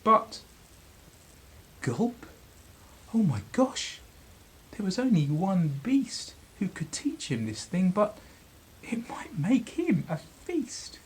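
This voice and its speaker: Slow, animated man reading a storybook. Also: high voice, breathy edge, low-quality recording. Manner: dramatic, with deliberate pauses. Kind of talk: expressive children's storybook narration.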